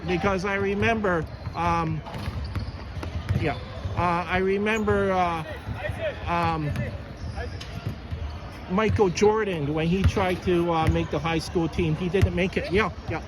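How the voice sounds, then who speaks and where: A man doing a casual interview in a halting, hesitant way, often repeating and restarting his phrases. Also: high voice, steady tone. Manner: halting, hesitant, repetitive delivery. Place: indoor basketball gym. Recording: noisy gym, bouncing balls behind.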